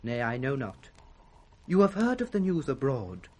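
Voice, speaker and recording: An actor playing a gossiping British courtier bearing news in a 1960s radio Shakespeare drama. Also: male voice, medium pitch, sing-song, moderate pace. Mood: confiding.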